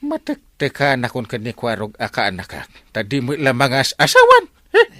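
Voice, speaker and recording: A man's voice: mid-range voice, huge pitch swings, moderate pace, very noisy. A man playing a jovial, meddling village captain uncle in an Ilocano radio drama, with an Ilocano accent and a habit of starting phrases with a hesitant "eh". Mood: coaxing. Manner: hesitant, repeated "eh" before phrases.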